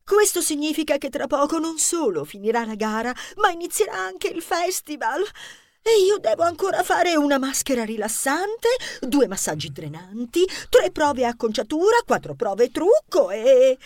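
Woman as vain mouse movie star, children's audio story: high, whiny, overdramatic. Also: big pitch swings, normal speed, no echo, studio quality. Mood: distraught, dramatic. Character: melodramatic vain female mouse film star.

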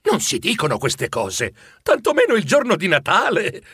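Storybook father, acted by a male reader: kindly, forgiving, cheerful, ringing high voice, very animated. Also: normal speed, breathy edge, dry recording, clean studio sound, heavily acted. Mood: shocked. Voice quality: ringing, bright tone.

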